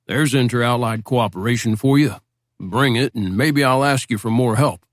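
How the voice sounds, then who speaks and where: A man's voice: medium pitch, moderate pace, no echo, lightly acted. A man voicing a terse American major. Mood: terse, dry. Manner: terse, dry. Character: laconic American army major from Arkansas.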